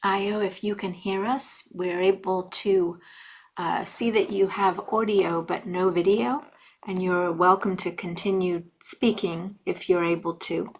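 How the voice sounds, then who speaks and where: A woman acting as producer on an online video call, speaking calmly and helpfully. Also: on the low side, a little slow, rough recording. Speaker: technical producer. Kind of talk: casual video call chat. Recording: faint background noise.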